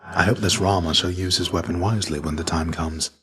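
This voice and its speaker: An actor playing the ghost of a fallen Greek warrior in a video game, a gentle, fatherly mentor with measured, formal, old-fashioned phrasing. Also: deep voice, steady tone, natural voice. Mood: reflective. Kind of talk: video game character dialogue.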